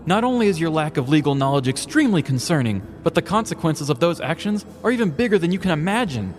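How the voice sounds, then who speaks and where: A man's voice: on the high side, very animated, fast talker, long reverb tail, soft background music, voice pushed up. A man voicing a pushy imaginary woman roommate who pleads in a raised voice. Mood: pleading.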